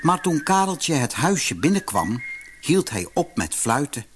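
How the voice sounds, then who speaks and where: Expressive male fairy tale narrator. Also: on the high side, a little slow, slight background noise. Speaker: storyteller, audiobook narrator.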